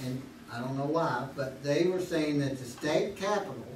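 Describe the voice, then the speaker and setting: A man playing a chatty small-town contestant who reasons out loud, heard far off in an echoey theater. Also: a little high, animated, moderate pace, slight background noise, close to an everyday voice. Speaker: game show contestant.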